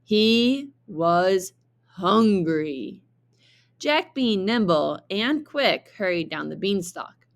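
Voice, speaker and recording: A woman reading a children's story aloud like a teacher, with expressive, deliberate narration. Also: mid-range voice.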